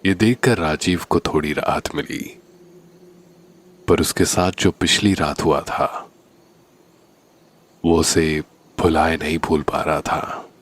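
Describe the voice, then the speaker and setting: A man narrating a scripted horror story in a deliberate, suspenseful manner. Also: very deep voice, steady tone.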